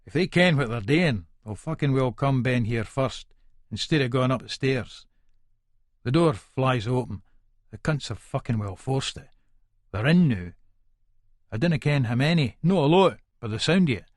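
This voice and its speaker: A male actor plays a volatile Edinburgh hard man telling his own story in a dramatised audiobook. His broad Scottish speech is aggressive, colloquial and thick with swearing, sitting at a middling pitch. The sound is close and dry. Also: lively intonation, normal speed, no room sound, lightly acted. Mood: irritable, wary. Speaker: audiobook narrator in character. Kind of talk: first-person dramatised audiobook monologue.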